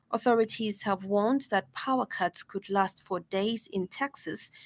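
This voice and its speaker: Zambian woman reading radio news. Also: mid-range voice. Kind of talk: scripted news bulletin reading. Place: radio studio.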